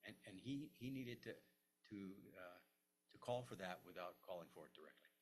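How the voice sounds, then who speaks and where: An older man, a historian, answering audience questions at a lecture, halting with many restarts and fillers. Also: low voice, lively intonation, a little hiss or hum. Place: lecture room.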